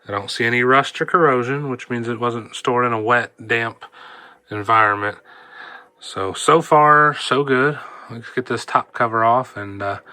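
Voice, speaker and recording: A man's voice: on the low side, no room sound. A man gives an informal, folksy hands-on repair demonstration, thinking aloud as he works. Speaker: hobbyist repair vlogger. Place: indoors at a repair workbench. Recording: clear steady background noise.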